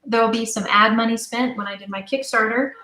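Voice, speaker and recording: A woman's voice: a little high, steady tone, a little fast, sharp tone. A young woman chatting casually and emphatically as a guest in a podcast interview. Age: young adult. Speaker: interview guest, audio drama creator. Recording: roomy, echoey voice.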